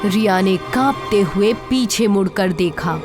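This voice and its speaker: A woman's voice: mid-range voice, animated, measured pace, music in the background. A woman narrator reading a scripted horror story.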